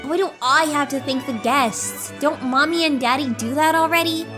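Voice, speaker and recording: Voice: high voice, normal speed, lightly acted. A performer voicing a childish, eager little pony princess in a cartoon drama, with a whiny edge. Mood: bored, whiny. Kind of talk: animated fan drama voice acting. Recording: music behind the voice.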